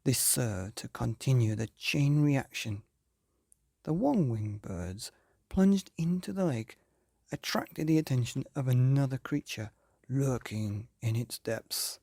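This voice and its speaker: A man hosting and narrating a children's bedtime story in a theatrical way, with drawn-out emphasis and hushed phrases. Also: medium pitch, very animated, creaky, dry recording, clean studio sound. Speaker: podcast host and storyteller.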